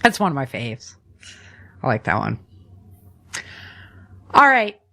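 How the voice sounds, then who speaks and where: A woman's voice: rumbling low, huge pitch swings, slow, creaky, sharp tone. A woman co-hosting a podcast, chatting informally as she explains practical tips. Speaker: podcast co-host.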